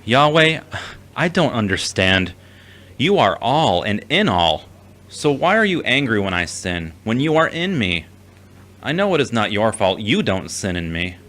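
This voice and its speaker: A man's voice: on the low side, big pitch swings, a little slow, fairly bright tone. A man playing a conflicted, earnest soul who wrestles with God and himself in an audio drama. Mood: pleading, troubled. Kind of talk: scripted audio drama acting.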